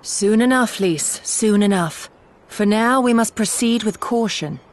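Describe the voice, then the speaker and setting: A woman plays a serious warrior retainer from a fantasy game. Her mid-range voice carries formal, old-fashioned phrasing with steady resolve and authority. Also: medium pitch, close to an everyday voice. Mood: grave, cautious. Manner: formal, archaic, measured diction. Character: grave, resolute female warrior retainer, cautious leader. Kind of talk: video game cutscene acting.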